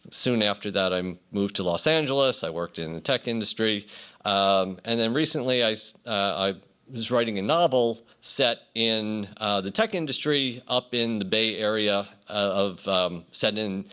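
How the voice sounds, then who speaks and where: A middle-aged or older man giving an informal acceptance speech as a writer, telling anecdotes conversationally with hesitant pauses. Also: on the low side, bright, forceful tone, clear, resonant tone.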